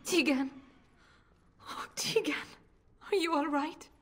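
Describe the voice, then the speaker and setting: A woman's voice: high-pitched, slow pace, background noise. A woman playing an anguished, protective noble mother in a fantasy video game, pleading and emotional.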